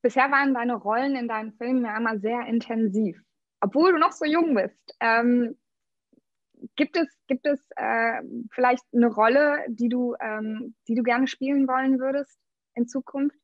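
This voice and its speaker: A woman interviewing in a friendly, chatty way, often pausing with hesitant fillers. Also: fairly high pitch, no room sound, lo-fi sound. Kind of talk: casual interview questions.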